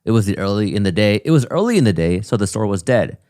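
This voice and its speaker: A man's voice: fairly low pitch, big pitch swings, quick pace, darkish tone, no echo. A man co-hosting a comedy podcast, reading a listener's email aloud in a dry, matter-of-fact way with joking asides. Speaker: co-host.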